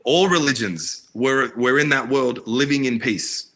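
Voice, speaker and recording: A man with a bright, forward tone and a mid-range voice talks earnestly in a casual interview. His speech is halting, full of fillers and restarts. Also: medium pitch. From Iraq, raised in Australia. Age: adult, married. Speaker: filmmaker guest.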